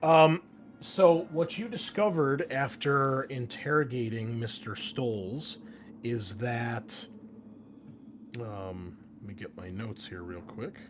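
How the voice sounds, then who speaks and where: A young man running a tabletop roleplaying game, recapping from his notes in an easygoing way with many fillers. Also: medium pitch. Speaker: game master.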